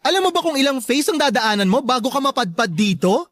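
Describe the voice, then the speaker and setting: A woman playing a sharp-tongued, joking best friend in a Filipino radio drama, campy and teasing. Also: sits high, big pitch swings, fast talker, dry recording. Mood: sarcastic, catty.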